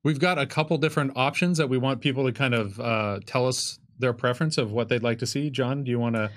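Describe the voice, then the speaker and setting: Mid-pitched man, casual game co-host. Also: quick pace. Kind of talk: casual unscripted game presentation. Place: small curtained studio, seated at a table. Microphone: microphone on a boom stand.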